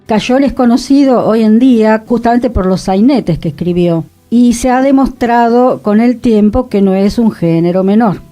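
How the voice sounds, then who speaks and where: Mellow-voiced woman explaining on radio. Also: a little high, moderate pace, few pauses, soft background music. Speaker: radio show co-host. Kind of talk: cultural radio discussion.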